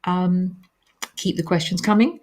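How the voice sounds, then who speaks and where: Woman with a soothing, lowish voice reading out chat questions and answering them, lots of little ums. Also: a little slow. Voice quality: calming voice. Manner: reads chat questions aloud, then answers with gentle hesitations. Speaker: author hosting a live reader Q&A. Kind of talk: live-stream question and answer.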